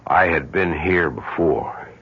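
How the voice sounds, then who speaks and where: An actor playing a grave, guilt-ridden middle-aged businessman in a 1970s radio drama, speaking in a halting, somber, confessional way. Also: low-pitched, breathy edge, full character voice. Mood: somber, reluctant. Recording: muffled 1970s radio broadcast recording.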